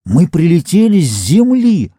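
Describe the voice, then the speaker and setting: A man's voice: medium pitch, sing-song, normal speed, mellow, no room sound, clean studio sound. A man voicing a plump, self-assured Earth rocket captain who talks eagerly and insistently.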